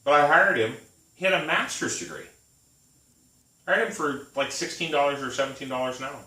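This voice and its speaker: A man's voice: fairly high pitch, a little fast, pushed and bright. A man in his thirties co-hosting a casual chat, rambling through stories and laughing often. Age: 30s. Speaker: podcast co-host. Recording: echoey room, mic at a distance.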